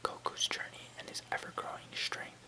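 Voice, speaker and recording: An ASMR host reading an encyclopedia article aloud in a soft, breathy, unvoiced whisper, with measured, deliberate phrasing and brief pauses.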